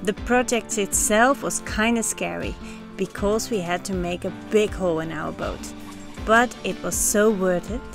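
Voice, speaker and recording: Dutch-accented woman in her thirties, calm reflective vlog narration, soft music underneath. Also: medium pitch, measured pace, vocal fry. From Netherlands. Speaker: sailing vlogger. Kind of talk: scripted voice-over narration. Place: on a sailboat cockpit under a canopy, outdoors.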